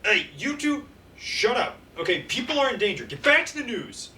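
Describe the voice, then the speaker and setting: A man's voice: extremely high pitch, big pitch swings, normal speed, bright, forceful tone, heavily acted. A man playing an irritated newsroom boss scolding his staff in a radio audio drama. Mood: annoyed, urgent.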